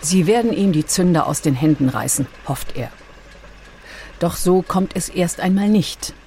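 A woman reading a scripted documentary narration with measured, dramatically phrased storytelling. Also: fairly low pitch, expressive, dark tone, slight background noise. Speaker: audiobook narrator.

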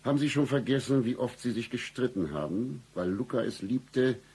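An actor playing a composed, dryly understated, knowing man with a measured, deadpan manner in a satirical radio play. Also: medium pitch, slightly rough.

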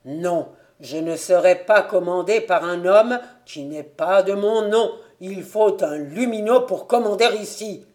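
Woman voicing bitter, furious farmer's son, forceful. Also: fairly low pitch, unhurried, hardly any pauses, natural voice. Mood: violent, defiant. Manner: forceful, indignant, protesting.

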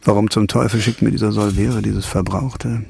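An actor playing a sardonic, observant investigator with a gravelly voice, muttering dry inner comments in a radio crime drama. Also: male voice, on the low side, level tone, moderate pace, darkish tone, full character voice. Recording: studio radio drama with music underneath.

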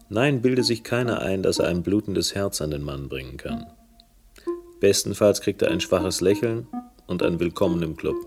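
Low-voiced, world-weary male investigator. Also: gravelly. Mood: bitter, weary. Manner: laconic, sardonic, clipped phrases. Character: world-weary, bitter male private investigator narrating his troubles. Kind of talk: 1970s German radio crime drama narration. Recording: 1970s radio drama with music behind.

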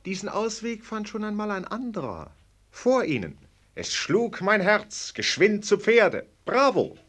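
A man's voice: high voice, sing-song, measured pace, a bit bright, exaggerated voice. A man acting a knowing, sardonic commentator in a radio play, with ironic, teasing, rhetorical delivery. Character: sardonic knowing male commentator needling a prince.

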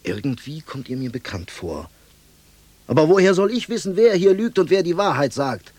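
An actor playing a gendarme in a radio drama, blustering yet deferential and philosophically minded, theatrical in delivery. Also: male voice, fairly high pitch, sing-song, normal speed, darkish tone, no room sound. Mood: suspicious, puzzled. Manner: theatrical, shifting from barked orders to reverent musing. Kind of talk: German radio drama acting.

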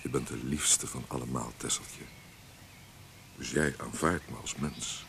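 An actor playing a roguish, self-doubting poet in a radio play, playful and smitten, with a hesitant way of speaking. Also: male voice, very deep voice, fairly even intonation, a little slow, gravelly, heavily acted. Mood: tender, reflective. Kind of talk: Dutch radio play acting. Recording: noisy old radio play recording.

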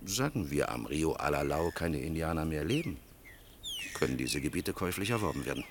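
A very deep, creaky older man's voice, playing a grave and weary official in a radio drama. He speaks in measured, sardonic phrases, over faint recording noise. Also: sing-song, heavily acted. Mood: grave explaining. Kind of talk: German radio play acting, 1980.

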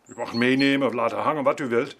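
An actor playing a brusque landowner in a radio drama, brisk and matter-of-fact. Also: male voice, medium pitch, fairly even intonation, a bit bright, slight background noise, natural voice. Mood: brisk, dismissive. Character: brusque, busy landowner, son of a painting thief.

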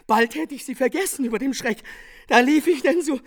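An actress playing a distraught, chattering servant in a radio drama, agitated, breathless and stammering. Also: female voice, high voice, fairly quick. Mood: horrified, frantic.

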